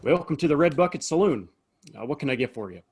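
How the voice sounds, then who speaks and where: A man playing a weary Old West saloon keeper in an audio play, husky, hesitant and folksy. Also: a little high, expressive, fast talker, a little mellow. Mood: curious, folksy. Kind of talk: Western audio play acting.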